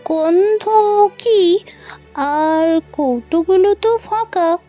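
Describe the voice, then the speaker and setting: Woman acting a high-pitched curious little girl. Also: very slow, warm tone, soft background music, exaggerated voice. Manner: inquisitive, childlike. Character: curious young granddaughter full of questions. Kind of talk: audio story acting.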